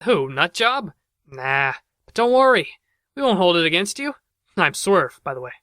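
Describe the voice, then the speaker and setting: A man's voice: high-pitched, sing-song, normal speed, sharp tone, dry recording, studio quality, heavily acted. A man playing a glib, cheeky, talkative robot in an audio drama, joking as he goes. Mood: wisecracking. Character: chatty, wisecracking, cheeky male robot.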